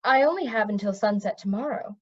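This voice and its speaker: A woman's voice: mid-range voice, brisk, darkish tone, dull audio, natural voice. A woman voicing a flustered, earnest middle-aged prince and reluctant wicked uncle, stammering and sighing, in a storybook reading. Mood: rueful, earnest.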